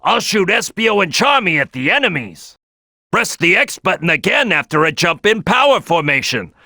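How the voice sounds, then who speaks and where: A man's voice: high voice, sing-song, moderate pace, pushed and bright, no echo, studio quality, exaggerated voice. A man voicing a big brash cartoon crocodile team leader in a video game, giving tutorial tips with emphatic, punchy delivery. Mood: instructive, upbeat. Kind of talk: animated game tutorial voice lines.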